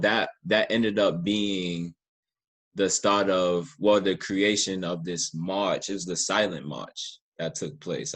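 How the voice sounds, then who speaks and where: Low husky guy doing a casual podcast interview, earnest and kinda repeats himself. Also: fairly bright tone. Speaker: podcast host interviewing a guest.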